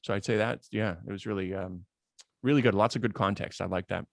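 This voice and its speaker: Low, gravelly-voiced man talking casually. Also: fairly even intonation, no room sound, studio quality. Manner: halting, informal. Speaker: panelist. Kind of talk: casual panel discussion.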